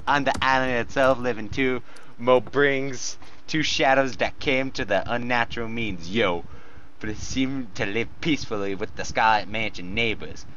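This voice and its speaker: A muffled recording with faint noise carries a man's mid-pitched voice. He reads a story aloud jokingly, giggly and tripping over phrases, with casual asides. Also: a bit bright. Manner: giggly, stumbling over the text. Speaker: co-host reading fan fiction aloud. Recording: muffled, noisy home recording.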